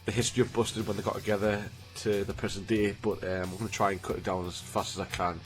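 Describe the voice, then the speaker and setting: A young man with a slightly blocked, snotty voice from a cold, speaking in a fairly low register. He chats loosely to his viewers, circling back with ums and restarts. A faint strand of music sits quietly behind him. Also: flat delivery, fast. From Newcastle, England. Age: 20s. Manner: rambling, chatty, with frequent ums and restarts. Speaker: YouTuber. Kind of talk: casual chat to camera.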